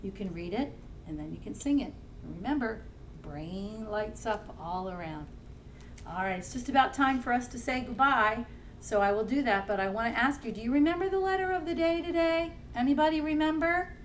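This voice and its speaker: A woman children's librarian leading a family storytime, singing nursery songs in English and simple Spanish and gently encouraging young children to join in. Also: on the high side, lively intonation, normal speed, slight background noise. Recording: somewhat distant from the mic, faint music.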